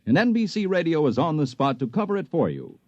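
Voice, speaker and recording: American male announcer, scripted vintage radio promo, expressive intonation, dry close studio sound. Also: fairly high pitch, fast, no room sound. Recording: dull, dry 1950s radio broadcast.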